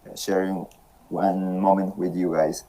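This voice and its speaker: A man's voice: sits low, level tone, a little slow, mellow, slight background noise, low-quality recording. A man from Peru introducing himself politely and briefly in an online meeting over a video call. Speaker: meeting participant.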